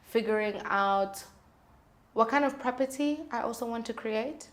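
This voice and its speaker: A woman's voice: fairly high pitch, a little slow. A woman talking candidly and earnestly as a guest in a conversational interview. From South Africa. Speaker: singer-songwriter, interview guest.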